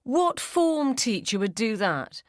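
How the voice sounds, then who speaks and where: A woman's voice: fairly high pitch, very animated, takes it slowly, no room sound, studio quality, voice pushed up. A woman voicing a domineering, self-righteous school mum in a story, emphatic and judgemental. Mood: defensive. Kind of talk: short story character dialogue.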